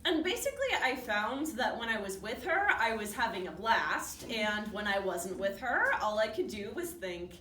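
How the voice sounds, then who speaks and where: Woman acting halting, chatty widow, echoey and distant. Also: mid-range voice, very animated, pushed and bright. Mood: fond, flustered. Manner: halting, conversational, with nervous asides. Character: grieving upbeat widow from Seattle, chatty and nervous. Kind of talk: dramatic monologue staged as a documentary interview. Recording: distant roomy hall recording with faint noise.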